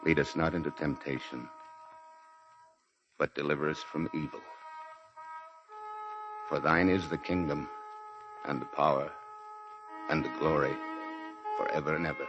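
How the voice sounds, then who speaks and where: Low-voiced weary Marine commander, grave and measured; vintage radio play with music, muffled fidelity. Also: male voice, fairly even intonation. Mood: solemn, prayerful. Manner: grave, measured, clipped orders. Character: weary commanding Marine officer, solemn and restrained. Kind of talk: 1940s radio acting. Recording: dull 1940s radio recording with music.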